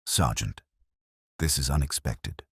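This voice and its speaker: A man voicing a prim, guarded finance clerk who speaks softly in short, clipped phrases. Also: very deep voice, expressive, unhurried, breathy edge, no echo, clean studio sound, full character voice. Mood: guarded polite. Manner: soft-spoken, clipped and prim.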